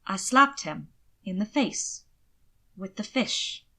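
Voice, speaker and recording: A woman voicing an indignant young gentleman prone to giggles in a dramatic audiobook reading. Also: medium pitch, expressive, slow pace, exaggerated voice. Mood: sheepish disbelief.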